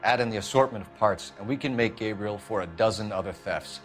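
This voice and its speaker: A man's voice: lowish voice, close to an everyday voice. A man playing a hard-nosed police detective in a crime game, pressing his point sternly. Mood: threatening. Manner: stern, pressuring, deliberate emphasis. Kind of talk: dramatic game acting. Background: background music.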